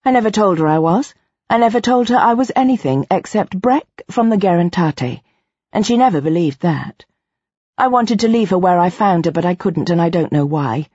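A woman voicing a cold, controlled artificial intelligence in a human body, deliberate and expressionless, for an audiobook. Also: fairly low pitch, expressive, warm tone, slightly rough, no echo, highs cut off. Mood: flat, insistent. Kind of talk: first-person audiobook narration.

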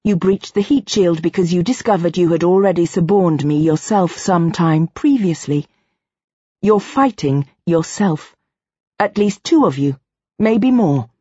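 A woman's voice: on the low side, mellow, slightly rough, dull audio. Expressionless AI in a human body, voiced by a woman, roomy sound. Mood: controlled, accusing. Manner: expressionless, deliberate. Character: cold, controlled AI in human body, recounting. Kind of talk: first-person audiobook narration.

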